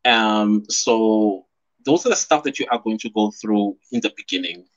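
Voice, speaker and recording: A man's voice: on the low side, normal speed. A South African man in his thirties, chatting casually on his first live stream from a small room at home, laughing often and reading out viewer comments. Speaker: YouTuber hosting a live stream. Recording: slightly dull lo-fi stream audio.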